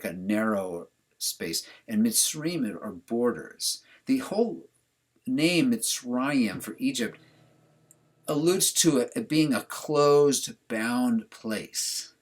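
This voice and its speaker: A man's voice: medium pitch, lively intonation, slow pace. A man teaching a religious class over a video call, talking casually and putting questions to his students. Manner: conversational, asks the class questions.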